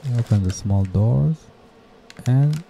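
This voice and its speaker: A man narrating a DIY tutorial in a plain, measured, step-by-step way, like a scripted instructional voice-over. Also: mid-range voice, animated, gravelly, warm tone, a little hiss or hum.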